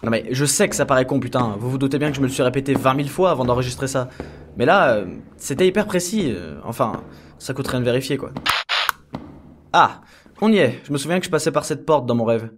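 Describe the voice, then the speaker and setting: A man's voice: medium pitch, expressive, fast talker, noisy background, close to an everyday voice. A man playing a flustered, sarcastic henchman clone, rambling and exasperated in a comedic audio drama. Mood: rambling, uneasy.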